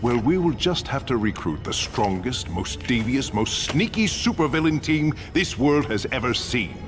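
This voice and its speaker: An actor playing an arrogant supervillain mastermind in a video game, pompous, dry and commanding. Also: male voice, mid-range voice, sing-song, normal speed, music in the background, lightly acted. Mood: confident, scheming.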